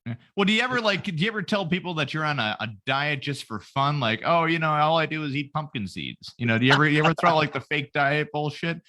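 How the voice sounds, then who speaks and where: A man's voice: a little high, animated, quick pace, hardly any pauses, pushed and bright. A man co-hosting a podcast in casual chat, rambling through crude roast jokes. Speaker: podcast co-host.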